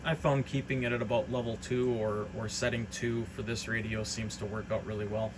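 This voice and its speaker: A man's voice: lowish voice, little pitch movement, a little fast. Man chatting through a demo, noisy background. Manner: rambling, unscripted, matter-of-fact. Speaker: hobbyist radio reseller presenting a demonstration. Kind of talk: informal product demonstration.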